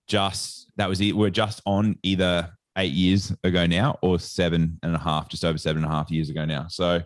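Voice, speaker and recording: A very deep Australian man chats as a podcast guest. His voice sits low and sounds close and dry, with no room around it. He rambles loosely through his story with plenty of casual filler. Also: little pitch movement, moderate pace, dry recording. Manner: rambling storyteller, heavy on "like" and "sort of". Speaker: guest, sports nutritionist.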